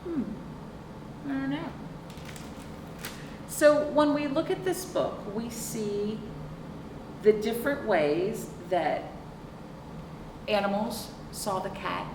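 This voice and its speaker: A middle-aged woman reading a picture book aloud to young children as a librarian, explaining deliberately and asking rhetorical questions. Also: a little high. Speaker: children's librarian hosting storytime. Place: indoor room with sofa. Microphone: camera a few feet away. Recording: echoey, noisy room, distant mic.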